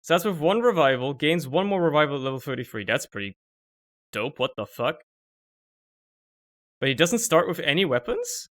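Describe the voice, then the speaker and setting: A man's voice: a little high, big pitch swings, moderate pace, pauses often, fairly bright tone. A young man with German as his first language, streaming a video game and rambling through casual, joking commentary that swears often, recorded at home on a desk microphone.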